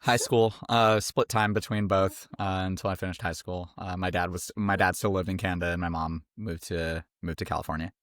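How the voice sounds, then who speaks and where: A man's voice: sits low, steady tone, brisk, few pauses, a bit bright, no room sound, clean studio sound. A young man from a band, a guest on a podcast, talking in a rambling, laid-back way full of fillers. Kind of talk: casual interview chat.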